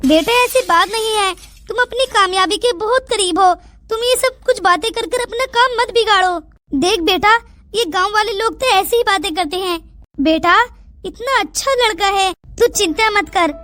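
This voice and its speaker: Casting: woman as caring cartoon village mother, high voice, fretful and coaxing, music underneath. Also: moderate pace, pushed and bright, no room sound. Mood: reassuring, coaxing. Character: anxious, caring village mother comforting her grown daughter. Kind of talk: Hindi cartoon moral story dialogue. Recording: music behind the voice.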